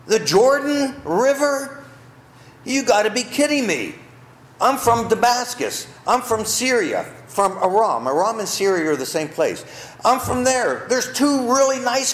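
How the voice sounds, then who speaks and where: Proud army commander, as a preacher puts him on, indignant, scoffing, high lively voice, echoey church. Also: male voice. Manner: incredulous, scoffing.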